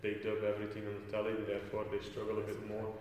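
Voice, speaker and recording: Low flat Flemish man, echoey room. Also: rapid-fire, distant mic, slight background noise. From Belgium. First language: Flemish Dutch. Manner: thoughtful, many fillers and restarts. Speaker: footballer guest. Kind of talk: casual interview answers.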